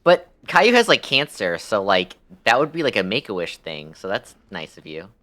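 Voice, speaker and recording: A man's voice: lowish voice, sing-song, normal speed, bright, forceful tone. A man livestreaming a game, chatting casually with viewers in a crude, joking, slangy way and often repeating himself. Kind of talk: casual chat with stream viewers.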